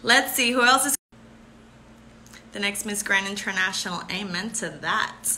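A woman's voice: medium pitch, animated, slow, bright, forceful tone, a little hiss or hum. A young woman in her twenties with a Puerto Rican accent, a pageant contestant answering viewer comments on a live stream, laughing often and switching between English and Spanish. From Puerto Rico. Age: 20s. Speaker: pageant contestant hosting a livestream. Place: bright room indoors. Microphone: phone camera held close.

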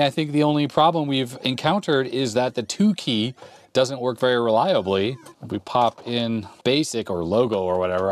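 A man's voice: medium pitch, a little hiss or hum. A man in his forties casually thinking aloud as he tests an old computer in a cluttered workshop, filmed up close. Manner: relaxed, thinking aloud while typing. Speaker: retro computer repair YouTuber. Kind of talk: casual hands-on demo talk. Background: keyboard clicks and typing.

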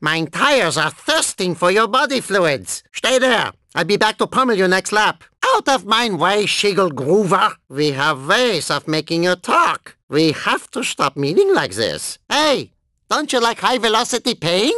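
A man voicing a deranged, gleefully violent and whiny German cyborg racer in a video game, with an exaggerated German accent and an excitable, theatrical delivery. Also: high voice, measured pace, dry recording, studio quality, full character voice. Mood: gleefully aggressive. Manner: theatrical, excitable, tacking questioning tags onto remarks. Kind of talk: cartoon video game character clips.

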